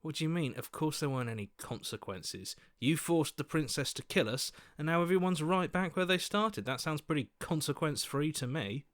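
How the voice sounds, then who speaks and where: An actor playing an earnest, sensible young hero in a video game, level-headed and mildly sarcastic. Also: male voice, a little high, expressive, normal speed, few pauses, fairly bright tone, dry recording, studio quality. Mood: dry, accusing. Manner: level-headed, dryly reproachful. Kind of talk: video game character acting.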